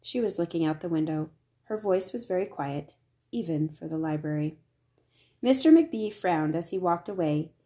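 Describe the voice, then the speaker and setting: Low-pitched woman reading a children's storybook. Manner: expressive, acts out the dialogue. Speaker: storybook reader. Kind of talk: scripted narration, reading a children's picture book aloud.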